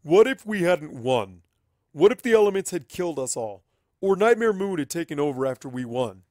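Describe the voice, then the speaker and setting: A man voicing a stern, exasperated pony father who speaks in a forceful, scolding way. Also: fairly high pitch, sing-song, moderate pace, no room sound, clean studio sound, pitched above the performer's own voice. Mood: angry, scolding.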